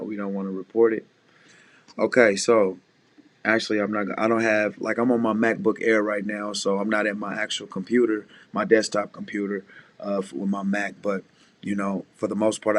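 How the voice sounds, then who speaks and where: A man with a deep voice, a music producer casually talking beginners through mixing, often saying "you know what I'm saying". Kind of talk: casual screen-share tutorial.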